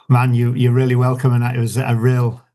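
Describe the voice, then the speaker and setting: A man's voice: mid-range voice, completely flat delivery, moderate pace, a little mellow. A man with a Yorkshire accent, an author as a guest, talking in a rambling, casual way with many fillers and restarts. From Yorkshire, England. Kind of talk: casual interview chat.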